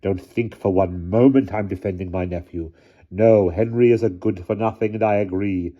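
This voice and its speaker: A man's voice: on the low side, steady tone, moderate pace, highs cut off, lightly acted. A man voicing a bold, stern aunt in a storybook, speaking firmly and declaiming as if to a mob. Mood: stern, pleading. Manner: firm, declamatory.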